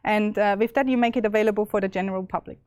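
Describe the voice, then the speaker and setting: A young woman with an Austrian accent presenting a live software demo, chatty and full of fillers and restarts. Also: mid-range voice, fairly even intonation, racing, clear, resonant tone, no echo, clean studio sound. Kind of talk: live tech demo walkthrough.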